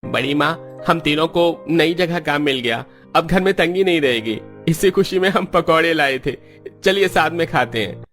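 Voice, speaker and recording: Man acting a higher-voiced young cartoon husband. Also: animated, moderate pace, fairly bright tone. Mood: happy, proud. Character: glad young husband, dutiful son of poor family. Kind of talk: Hindi cartoon story dialogue. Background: music.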